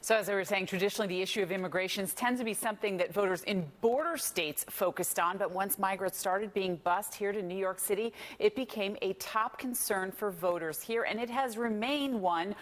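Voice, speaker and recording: A woman reporting for local TV news, delivering her story in a brisk, conversational way. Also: mid-range voice.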